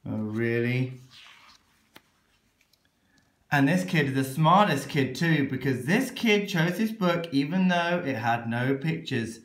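A man's voice: medium pitch, expressive, a little slow, a bit bright. A man reading a children's book aloud in a theatrical, exaggerated, mock-exasperated way. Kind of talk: animated children's story read-aloud. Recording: echoey room.

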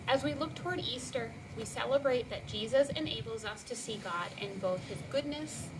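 A woman's voice: on the high side, moderate pace. A woman in her thirties reading a devotional reflection outdoors, a few metres from the camera. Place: outdoors in front of a garage. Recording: distant mic, steady outdoor noise.

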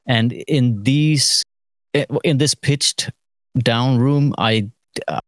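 A middle-aged man thinking aloud through an informal studio tutorial, halting and musing, with trailing phrases. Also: medium pitch. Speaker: mixing engineer giving a lesson. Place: recording studio, seated at desk.

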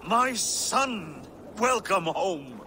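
An actor playing a cautious aging Viking king and father, measured and placating. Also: high voice, sing-song, heavy background noise, exaggerated voice. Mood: welcoming. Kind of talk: video game cutscene acting.

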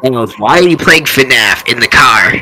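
A man's voice: mid-range voice, animated, moderate pace, pushed and bright, low-quality recording. A man voicing a sarcastic, cocky hedgehog hero in an improvised parody fandub, with teasing casual banter.

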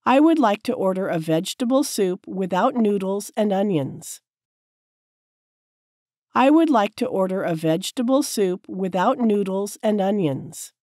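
A woman teacher reading short sentences slowly and clearly, each one said several times for learners to repeat. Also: medium pitch, lively intonation, darkish tone, no room sound, clean studio sound. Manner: careful, clear enunciation. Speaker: English teacher. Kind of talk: slow repeated drill sentences.

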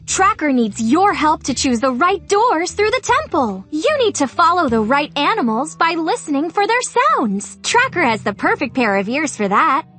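An upbeat ten-year-old boy hero, guides players through a children's cartoon game. His voice is high and very animated, encouraging and clearly instructive, over game music. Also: full character voice. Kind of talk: children's educational game narration. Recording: music under the voice.

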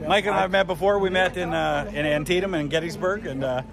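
A man's voice: a little high, fast, gravelly, a crowd in the background. A man hosting a travel vlog, chatting casually with fillers as he walks around a city square. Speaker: vlog host, tour guide.

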